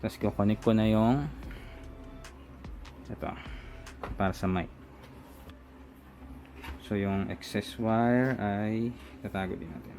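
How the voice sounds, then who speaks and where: A man's voice: fairly low pitch, steady tone, takes it slowly, long pauses, a little mellow. A Filipino man casually talking through a hands-on product installation step by step, as a reviewer. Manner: informal, step-by-step, narrating own hands-on work. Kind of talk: casual unboxing and installation walkthrough. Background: music.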